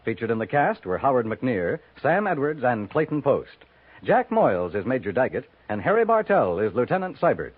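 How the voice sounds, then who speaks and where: A man's voice: medium pitch, sing-song, moderate pace, narrow, tinny audio. An American man announcing in a scripted 1950s radio style. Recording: thin 1950s radio recording.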